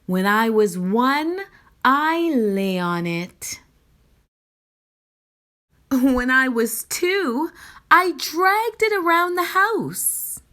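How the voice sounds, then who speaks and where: A woman voicing a firm but tender, reassuring mother in a children's storybook, with a deliberate, motherly manner. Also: high voice, very animated, pushed and bright, heavily acted.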